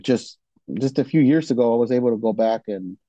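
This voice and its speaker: A man from Mexico talks in a relaxed, easygoing podcast chat, dropping "dude" and casual swearing. His voice sits warm and dark. The sound is dull and lo-fi, with a dry, close feel. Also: medium pitch, a little fast, no echo. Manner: laid-back, swears freely, says "dude" often. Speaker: guest, death metal vocalist.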